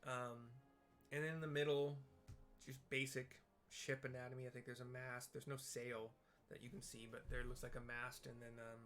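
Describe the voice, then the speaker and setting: A man giving casual, improvised commentary on a game and describing the visuals, halting as he thinks aloud. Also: medium pitch, flat delivery, a bit bright. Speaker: gamer narrating a playthrough with visual descriptions. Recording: game music behind the voice. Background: video game music.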